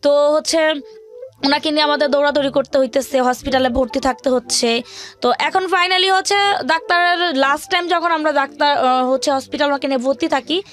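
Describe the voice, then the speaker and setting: A young Bangladeshi woman speaks in a high voice as a guest recounting her hardships. She talks colloquially and at length, wandering a little and repeating her phrases as she goes. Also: a little fast, fairly bright tone. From rural Bangladesh. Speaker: guest telling her life story. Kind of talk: personal hardship story in an interview.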